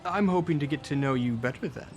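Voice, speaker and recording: A man's voice: fairly high pitch, fast, soft background music, lightly acted. A man playing a wry, wary young adventurer with casual, teasing talk in a tabletop radio play.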